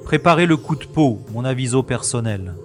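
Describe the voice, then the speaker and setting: Male actor as special agent, sardonic, brisk, commanding, sci-fi audio drama, light music behind. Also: mid-range voice, animated, natural voice. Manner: sarcastic, commanding. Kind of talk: science-fiction audio drama acting.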